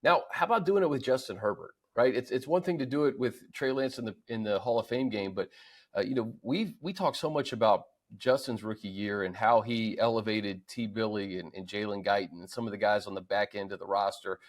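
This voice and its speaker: A man's voice: lowish voice, a little fast. An American man hosting a sports show with casual, conversational football commentary. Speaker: sports show host and analyst.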